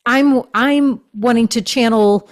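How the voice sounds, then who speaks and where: A woman's voice: on the high side, takes it slowly. A middle-aged woman, a shaman and author, chatting as a podcast guest, full of gushing spiritual phrasing and fillers. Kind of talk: casual interview chat.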